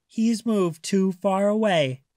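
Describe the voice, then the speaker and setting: A man voicing a gentle mother shark in a storybook, patient, soothing and reassuring. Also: high voice, slow pace, no echo, clean studio sound, voice pushed up. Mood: coaxing.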